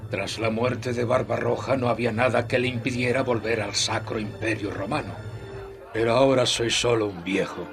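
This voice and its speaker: An actor playing an old exiled nobleman who recounts history in solemn, measured Castilian Spanish, as a dramatic game narration. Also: medium pitch, steady tone, over music, lightly acted. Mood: wry.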